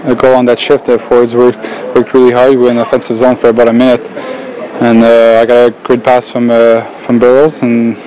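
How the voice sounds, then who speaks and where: Young man, flat hesitant answers. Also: mid-range voice, brisk, rough recording. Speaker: hockey player. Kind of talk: post-game interview. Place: locker room. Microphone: handheld mic held by reporter.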